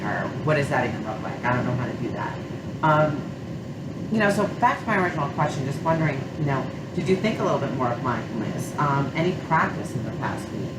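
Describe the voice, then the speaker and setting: A woman consultant with a slight cold and a nasal voice, gently leading a counseling session with many fillers. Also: sits low. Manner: gentle, hesitant, many fillers like you know and um. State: has a cold, a little nasal. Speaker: sport psychology consultant. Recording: echoey room, far mic, loud hum.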